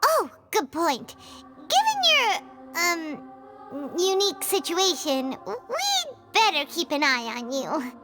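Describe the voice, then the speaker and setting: Game fairy sidekick: tiny childlike voice, extremely high, swooping, blunt and exclamatory. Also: female voice, huge pitch swings, slow pace, over music, heavily acted. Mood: chirpy, matter-of-fact. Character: small, childlike, excitable floating fairy companion, outspoken and easily exasperated. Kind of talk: video game voice acting.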